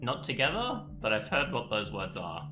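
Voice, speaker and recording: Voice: medium pitch, huge pitch swings, moderate pace. A gaming streamer giving casual let's play commentary in offhand asides. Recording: echoey room, faint game music. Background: faint game music.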